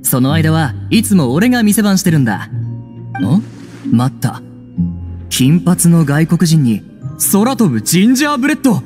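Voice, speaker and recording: A man playing a chatty, humble shopkeeper in a video game, talking in casual rough speech with fawning, excitable admiration. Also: fairly high pitch, moderate pace, soft background music. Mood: intrigued. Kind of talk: Japanese video game voice acting.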